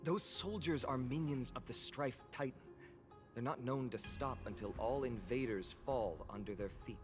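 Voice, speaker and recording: An actor playing a cautious, earnest young male warrior in a video game, speaking in a polite, measured way. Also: medium pitch, natural voice. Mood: wary, firm. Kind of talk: scripted video game character acting. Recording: game music behind the voice. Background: game music.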